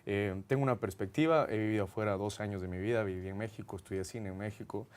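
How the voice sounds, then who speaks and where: A man's voice: lowish voice, quick pace, a little mellow. A man from Guatemala with a Guatemalan accent, a film director answering interview questions in an earnest, reflective way with frequent hesitations. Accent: Guatemalan Spanish.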